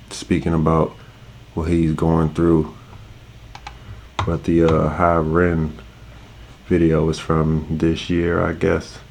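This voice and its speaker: Deep, gravelly-voiced man hosting a reaction video. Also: a little hiss or hum. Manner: relaxed, loose, with frequent fillers. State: stuffed up from allergies. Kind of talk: casual reaction commentary.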